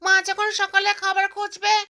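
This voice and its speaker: Squeaky childlike cartoon bird. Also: female voice, completely flat delivery, measured pace, creaky, sharp tone, slight background noise, exaggerated voice. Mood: disappointed, resolved. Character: greedy, stingy little mother bird and her chirpy chicks. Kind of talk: cartoon character voice.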